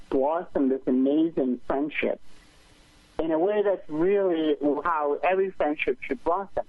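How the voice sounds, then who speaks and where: A man's voice: mid-range voice, dry recording. Earnest man on a tinny phone line. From Sri Lanka. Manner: hesitant, many uh fillers, earnest. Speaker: film director, guest. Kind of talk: phone-in radio interview. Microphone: telephone.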